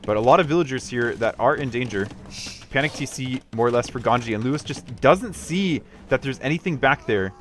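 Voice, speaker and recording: A man's voice: medium pitch, animated, brisk, fairly bright tone, slight background noise. Man casually commentating a strategy game match.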